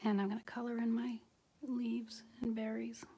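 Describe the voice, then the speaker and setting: A middle-aged woman casually demonstrating a craft step by step, speaking in an unhurried, halting way as she works with her hands. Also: a little high, flat delivery, mellow. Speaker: craft tutorial host. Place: indoors at a craft table. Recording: noisy home recording with clicks.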